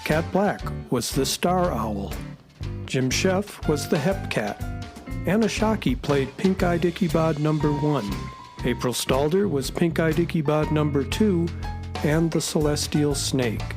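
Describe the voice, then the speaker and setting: Slow, higher-voiced male radio announcer. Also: over music. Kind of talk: scripted radio credits.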